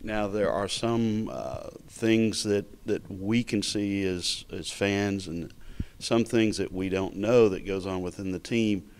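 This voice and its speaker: Low-voiced man interviewing athletes. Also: steady tone, slow, darkish tone, a little hiss or hum. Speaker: interviewer for college tennis program. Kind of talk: sports interview.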